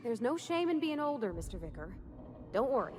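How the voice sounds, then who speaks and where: A woman's voice: on the high side, very animated, brisk, music in the background. A woman voicing a friendly, folksy companion in a video game, chatty and homespun.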